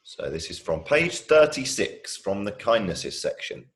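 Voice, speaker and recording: A man reads aloud for young children in an expressive storytelling style. His voice is fairly low, moves widely in pitch and takes its time, with deliberate phrasing. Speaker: primary school storyteller. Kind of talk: children's poem and story reading.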